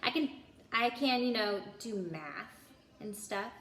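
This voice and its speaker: A woman's voice: medium pitch, expressive, slow pace, a bit bright. A woman playing a polite, curious young boy in a read-aloud storybook, hesitant and full of puzzled questions. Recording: roomy indoor echo.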